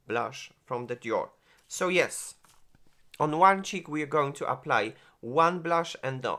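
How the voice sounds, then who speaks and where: Slow, gushing man reviewing makeup. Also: mid-range voice, pushed and bright. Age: 40s. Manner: gushing, exclaims and laughs often; halting non-native phrasing with many restarts. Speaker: beauty vlogger. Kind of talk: product review and makeup tutorial.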